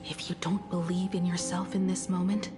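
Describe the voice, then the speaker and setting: A woman's voice: fairly low pitch, level tone, moderate pace, over music, close to an everyday voice. A woman playing a steadfast, encouraging young companion in a video game, speaking in a firm and earnest way. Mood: firm, reassuring. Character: steadfast, encouraging young female imaginary friend. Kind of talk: dramatic video game voice acting.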